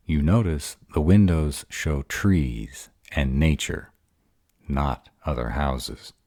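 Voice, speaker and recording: A man's voice: extremely low pitch, slow pace, a little mellow, no room sound, clean studio sound, exaggerated voice. A man playing a grumpy, insecure were-dragon in a romantic roleplay audio drama, hesitant and defensive as he courts his mate. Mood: shy, relieved. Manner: hesitant, defensive, self-doubting.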